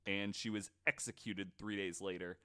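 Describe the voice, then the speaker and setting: A man's voice: low voice, fairly even intonation, normal speed, sharp tone, smooth. A man hosting a podcast, telling stories casually from notes with informal, sweary asides. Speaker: podcast host.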